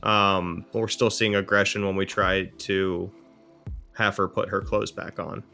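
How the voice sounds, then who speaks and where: A man's voice: sits low, little pitch movement, a little slow, vocal fry, pushed and bright, soft background music. A man giving an informal, explanatory case report in conversation, as a member of a care team.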